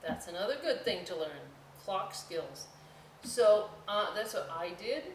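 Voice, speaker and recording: A woman hosting a children's storytime, reading aloud and explaining crafts with folksy, chatty, expressive asides to young listeners. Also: mid-range voice, unhurried. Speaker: library storytime host. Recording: roomy echo, distant mic, faint noise.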